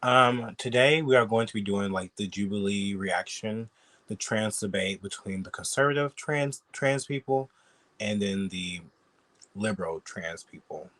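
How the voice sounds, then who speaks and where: A man with a somewhat low voice talks casually and bluntly, peppering his speech with fillers and swearing. He sounds sick and sniffly throughout. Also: measured pace, a bit bright. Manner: chatty, blunt, swears freely. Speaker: YouTube commentator. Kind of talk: casual reaction commentary.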